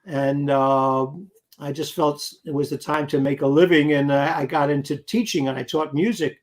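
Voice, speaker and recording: Older man, from New York City, guest musician, anecdotal, rambling, slightly high pitch. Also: fairly even intonation, normal speed. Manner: rambling, anecdotal, many fillers. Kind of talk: casual interview chat.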